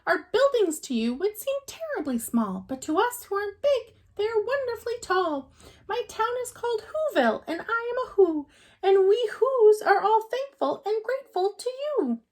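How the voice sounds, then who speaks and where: A tiny storybook mayor pipes in a very high voice. The little figure is earnest, polite and effusively thankful, with lively swoops in pitch. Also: female voice, normal speed. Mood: proud, grateful. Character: tiny, grateful, piping mayor of a speck town.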